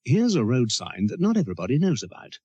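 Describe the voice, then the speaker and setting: Male narrator for an explanatory public appeal, lively intonation, slightly rough husky tone, earnest. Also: medium pitch, fast talker, dry recording, highs cut off. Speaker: public information film narrator. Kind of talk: scripted public information narration.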